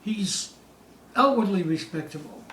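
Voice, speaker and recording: A man giving a theatrical poetry recital, dramatic and suspenseful, with drawn-out phrases moving between hushed dips and loud peaks. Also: high-pitched, lively intonation. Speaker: poetry reader. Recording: distant, echoey room with faint hiss.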